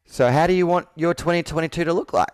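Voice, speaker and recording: A man in his thirties speaks in a smooth, resonant voice with a dry, close sound. He talks like a coach on a sales call, earnest and persuasive. He leans on personal stories and rhetorical questions to make his point. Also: on the high side, quick pace, dry recording. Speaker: business coach. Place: indoor room with whiteboard, video call.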